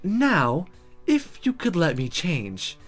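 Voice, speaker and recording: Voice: medium pitch, huge pitch swings, slow, faint music behind, exaggerated voice. A streamer voicing a stern but fond female magic teacher from a visual novel, formal and exasperated.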